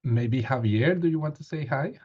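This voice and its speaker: A man's voice: mid-range voice, normal speed, breathy edge, muffled recording. A man in his thirties hosting an online meeting, hesitant with many fillers, on a webcam. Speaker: meeting host. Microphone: video call webcam.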